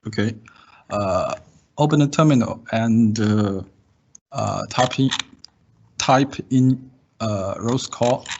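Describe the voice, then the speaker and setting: A man demonstrating software in an online class, speaking hesitantly and haltingly with long pauses over a video call. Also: on the low side, vocal fry. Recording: dull, slightly noisy call audio with typing. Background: keyboard typing and clicks.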